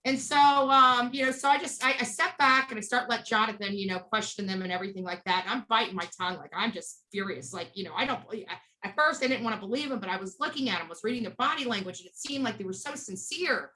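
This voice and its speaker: A woman with a bright, forward voice recounts things as an interview guest. She is blunt and emphatic, narrating in casual speech and slipping into quoted dialogue. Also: on the high side, fast talker, few pauses, low-quality recording. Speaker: interview guest, street protester. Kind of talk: animated casual storytelling.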